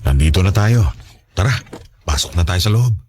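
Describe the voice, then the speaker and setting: A man's voice: low voice, animated, normal speed, a little mellow, husky. A man with a Filipino accent playing a patient, pleading middle-aged father in a radio drama, coaxing and earnest. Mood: gentle.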